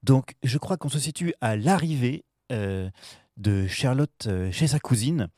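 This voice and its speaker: Give me a hesitant male host with a mellow, dry voice. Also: medium pitch, lively intonation, measured pace, dry recording. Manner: hesitant, many fillers. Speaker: show host. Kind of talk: interview.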